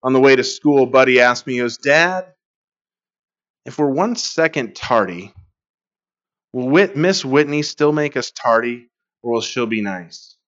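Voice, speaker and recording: A man's voice: mid-range voice, lively intonation, unhurried, pauses often, a bit bright, highs cut off. A middle-aged man preaching a sermon as a pastor, telling stories in a conversational way. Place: church sanctuary.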